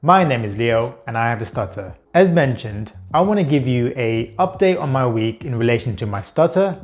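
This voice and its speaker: A man's voice: medium pitch, animated, normal speed. A young man hosting a personal vlog, talking earnestly about his week, with repeated words and occasional blocks from a stutter. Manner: reflective and earnest. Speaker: channel host.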